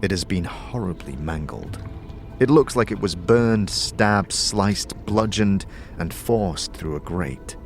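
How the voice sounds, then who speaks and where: Music plays behind a man with a fairly low voice. He narrates a dark fantasy audio drama in the second person, with vivid, suspenseful delivery. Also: lively intonation, takes it slowly.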